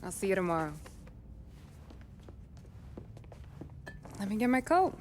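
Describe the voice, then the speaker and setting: A woman's voice: mid-range voice, sing-song, rapid-fire, darkish tone, slight background noise, close to an everyday voice. An actress playing a tough, sassy young woman in a gangster video game, wry and blunt. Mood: resigned. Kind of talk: cinematic 1930s gangster game acting.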